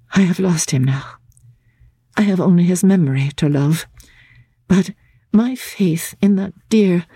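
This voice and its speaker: A woman voicing a gentle, composed young lady in a classic literary drama, speaking formally and earnestly in a soft, breathy, sometimes hesitant voice. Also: mid-range voice, dry recording, pitched above the performer's own voice. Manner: formal, measured, hesitant in places.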